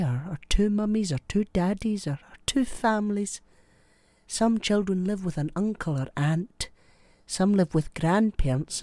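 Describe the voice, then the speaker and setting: A woman plays a kindly old nanny consoling a child. Her tone is mellow and gentle, with an unhurried, reassuring manner suited to a family film. The sound is dry and close. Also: fairly low pitch, no echo, natural voice. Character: kindly, motherly old nanny reading and answering a child's letter. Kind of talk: film-style dramatic monologue.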